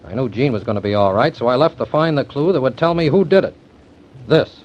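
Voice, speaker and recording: An actor in 1940s radio acting, playing a confident young man who briskly lays out his case. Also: mid-range voice, close to an everyday voice. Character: resourceful young man, accused suspect. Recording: dull old radio recording.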